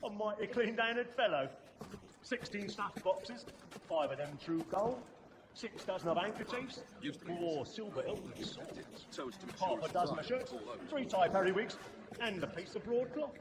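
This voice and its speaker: An actor playing a brisk, scheming eighteenth-century crime boss on a stage, declaiming archaic English in a theatrical way, with music over the voice. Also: male voice, sits high, lively intonation, background noise. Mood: calculating, appraising. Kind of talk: theatrical period stage acting.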